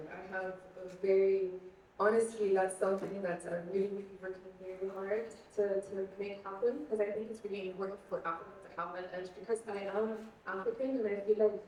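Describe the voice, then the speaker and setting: A woman's voice: mid-range voice, warm tone, a little hiss or hum. A young woman from Egypt answering questions on a panel, earnest and searching for words, often repeating herself. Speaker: guest speaker. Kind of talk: panel conversation. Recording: echoey hall, mic at a distance.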